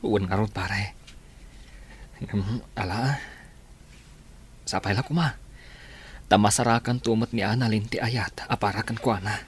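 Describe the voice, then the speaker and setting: A man's voice: fairly low pitch, unhurried, pauses often, breathy edge. An actor playing a despairing but hopeful lovelorn young man in a radio melodrama. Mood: resigned, hopeful. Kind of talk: melodramatic radio drama acting.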